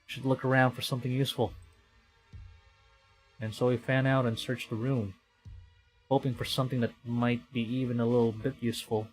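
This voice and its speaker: A man livestreaming a visual novel, reading it aloud with excitable, dramatic delivery and chatty casual asides. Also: medium pitch, normal speed, pauses often, low-quality recording. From Philippines. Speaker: streamer. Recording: music behind the voice. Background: game music.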